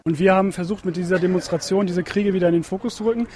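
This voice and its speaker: A man's voice: sits high, fairly even intonation, racing, darkish tone. A man giving a political statement as an organiser of a demonstration.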